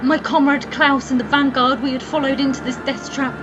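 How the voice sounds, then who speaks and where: A woman playing a trapped human alchemist, narrating her own ordeal gravely and theatrically in a fantasy audio drama. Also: high-pitched, little pitch movement, a little fast, background noise, lightly acted. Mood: fearful, tense. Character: female human alchemist, trapped, wary and determined survivor. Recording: dull lo-fi sound, music underneath. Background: music.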